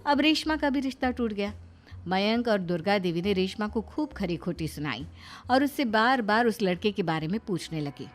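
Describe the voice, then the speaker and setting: A woman's voice: mid-range voice, expressive, normal speed, soft background music. A woman reading scripted narration for a cartoon family story as a storyteller.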